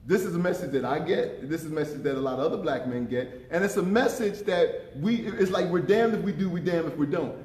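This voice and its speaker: Deep-voiced man in his fifties, emphatic and impassioned, speaking a bit high for his range in a roomy hall. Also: fairly quick, few pauses, a little mellow. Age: 50s. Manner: impassioned, emphatic, repeats words when pressing a point. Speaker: panelist. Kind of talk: conference panel talk. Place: conference room. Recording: echoey conference room.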